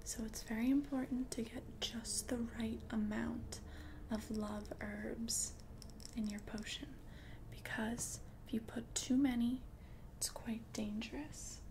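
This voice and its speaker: An ancient witch, played by a woman, murmurs in a soft, breathy ASMR whisper. The delivery is unhurried and barely varies in pitch, confident and soothing as potions are brewed. Noticeable background noise sits under the voice. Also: steady tone. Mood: hushed, cautioning. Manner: slow, deliberate, soothing, repeats words for emphasis.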